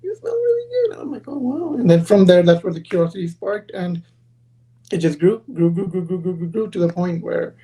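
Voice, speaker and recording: A man's voice: high-pitched, big pitch swings, brisk, mellow, rough recording. A man in his thirties, born in Pakistan and raised in Los Angeles, answering interview questions over a video call in a casual, friendly storytelling way. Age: 30s. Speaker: guest, perfume brand founder.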